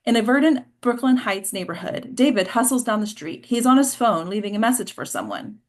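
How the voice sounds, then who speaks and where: A woman's voice: on the high side, sing-song, fairly quick. A woman hosting a table read and narrating a screenplay's stage directions. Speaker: host and stage directions reader.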